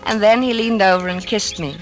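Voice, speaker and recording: An actress playing an anxious, devoted wife who narrates an old-time radio drama. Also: female voice, mid-range voice, fairly quick, faint music behind, close to an everyday voice, poor audio. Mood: grave narration.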